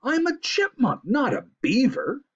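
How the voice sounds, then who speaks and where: A man voicing a small, nervous, proud chipmunk in an animal story, squeaky and jumpy. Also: lively intonation, moderate pace, warm tone, dull audio. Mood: indignant.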